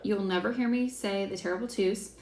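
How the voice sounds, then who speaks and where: Young woman, class host: chatty, enthusiastic, filler-heavy, slight vocal fry, roomy echo. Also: mid-range voice, steady tone, a little fast, a little mellow. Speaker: essential oils class host. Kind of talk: casual teaching class. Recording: echoey room, faint background hiss.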